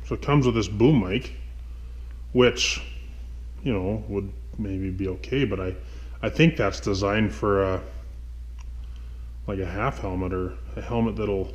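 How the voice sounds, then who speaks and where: Guy vlogging in his garage, lowish voice, really slow, kinda rambly and unsure, just thinking out loud. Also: lo-fi sound. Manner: rambling, hesitant, thinking aloud. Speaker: motovlogger host. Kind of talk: casual unscripted gear walkthrough. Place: indoors in a garage workshop.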